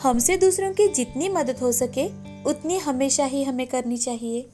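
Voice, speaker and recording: A woman's voice: sits high, a little slow, mellow, faint music behind. A woman narrator reading a scripted moral story for children.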